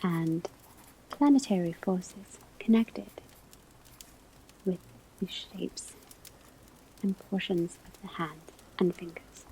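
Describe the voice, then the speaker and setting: A calm, instructive professor of magic acted by a woman gives a whispered ASMR classroom lecture. The voice is soft, breathy and mellow, and moves very slowly and deliberately. Crackling fire and rustling pages sit audibly behind it. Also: on the low side. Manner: slow, deliberate, measured, instructive. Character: calm woman professor of divination at a witch school. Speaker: ASMR roleplay performer. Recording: clear background ambience noise. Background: classroom ambience, crackling fireplace, pages turning.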